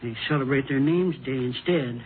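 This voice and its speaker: An actor playing a grieving, bewildered widower past sixty-five, polite and halting, in a vintage radio drama. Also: male voice, mid-range voice, natural voice. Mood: polite, subdued. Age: over sixty-five. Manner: halting, polite, plain folksy speech. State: shaken with grief. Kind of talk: 1950s radio drama acting. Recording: tinny, noisy vintage radio recording.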